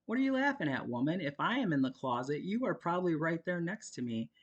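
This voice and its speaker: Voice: mid-range voice, sing-song, quick pace, muffled recording, pitched above the performer's own voice. A jokey, doting father who teases his kids with mock threats, voiced by a story reader. He talks in a sing-song way. Read as a storybook character. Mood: mock-stern.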